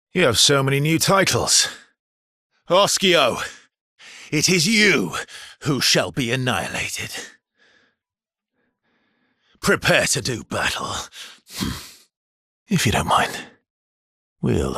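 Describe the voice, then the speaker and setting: An actor voicing a weary, gentle male adventurer in a video game, with a husky, breathy voice and measured, understated phrasing. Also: on the low side, no echo, clean studio sound, heavily acted. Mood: mock-heroic, then dry, wry. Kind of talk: video game dialogue lines.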